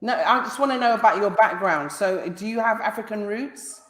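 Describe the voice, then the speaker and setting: A woman's voice: medium pitch, moderate pace, fairly bright tone, roomy sound. A woman with a British accent hosting a casual live-stream interview, pressing her guest with repeated questions. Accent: British English.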